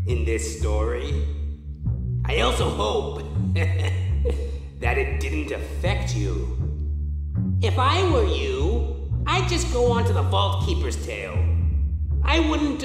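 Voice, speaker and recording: A man's voice: fairly high pitch, huge pitch swings, slow pace, pauses often, voice pushed up, full character voice. A man voicing a gleefully ghoulish undead host of horror tales, drawling and mocking his listeners. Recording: cavernous echo with long reverb, music.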